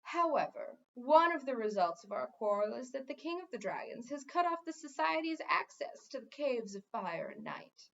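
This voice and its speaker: A woman voicing a smooth, pushy, lecturing male head wizard in a children's fantasy story. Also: high-pitched, very animated, moderate pace, hardly any pauses, a bit bright, dull audio, exaggerated voice. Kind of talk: children's fantasy audiobook reading.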